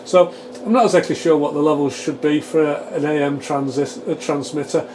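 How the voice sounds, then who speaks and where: Man demonstrating over clear fan noise. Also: a little high, brisk. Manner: thinks aloud, explains step by step. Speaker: presenter of a radio repair channel. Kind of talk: informal technical demonstration, narrated live while working. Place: small home electronics workshop. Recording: steady fan and equipment hum. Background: cooling fans of test equipment.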